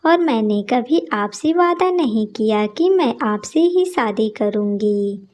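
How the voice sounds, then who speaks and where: A woman plays a lovestruck young village woman from a cartoon story. She is polite, earnest and determined, with a fairly high, mellow voice and slow delivery. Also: animated.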